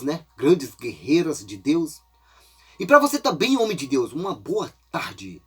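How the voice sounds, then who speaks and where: A man's voice: fairly high pitch, fairly bright tone, husky. A man preaching an informal, folksy sermon while congested with a cold, often tagging his phrases with a questioning aside.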